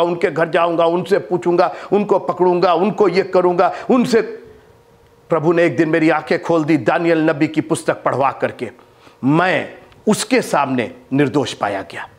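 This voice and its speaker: A man in his fifties preaching a sermon to camera in a studio on a lapel mic, rising to loud emphatic lines and dropping to hushed ones. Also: high-pitched. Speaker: bishop and preacher. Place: studio with green-screen backdrop.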